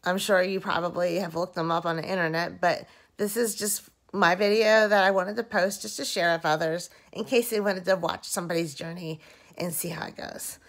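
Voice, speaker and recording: A woman in her thirties, hoarse and very tired, talking slowly and drowsily into a phone held at arm's length while lying in bed at home, recording a casual video diary. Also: fairly low pitch, fairly even intonation. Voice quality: dry-mouthed, rough voice. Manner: slow, drowsy, rambling. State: hoarse and very tired after surgery. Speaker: vlogger.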